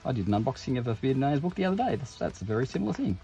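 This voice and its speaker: Guy doing a laidback unboxing chat, jokey asides, cuts himself off, medium pitch, faint music behind. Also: big pitch swings, very fast, dark tone. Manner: informal, jokey, self-interrupting asides. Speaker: host of tabletop game unboxing videos. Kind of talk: casual unboxing commentary.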